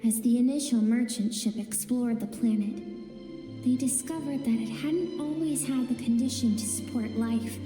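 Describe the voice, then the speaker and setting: An actress voicing the gentle, suppressed inner self of a swordswoman in a video game, speaking softly and sorrowfully. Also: high voice, lightly acted. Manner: soft, sorrowful, pleading. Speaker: game character. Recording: roomy reverb under game music.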